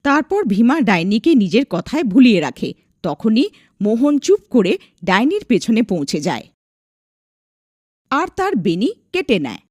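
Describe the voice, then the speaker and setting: Animated, high-voiced woman storyteller. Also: normal speed, long pauses, no room sound, clean studio sound. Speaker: storyteller, narrator. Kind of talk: scripted narration of an animated folk tale.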